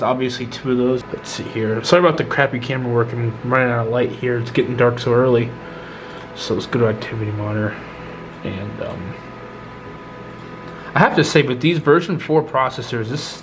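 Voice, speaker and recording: A man with a stuffy, congested cold, talking casually through a hands-on computer build in a relaxed, chatty way, with frequent ums and self-corrections. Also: medium pitch, noisy background. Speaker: YouTube tech vlogger. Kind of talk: casual hands-on tech vlog narration.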